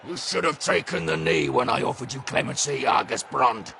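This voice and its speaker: A man playing a brutish, arrogant, hot-tempered warlord in an audio drama, gravelly and snarling, with theatrical bellowing and drawn-out words. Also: medium pitch, sharp tone, slight background noise. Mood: scornful menace.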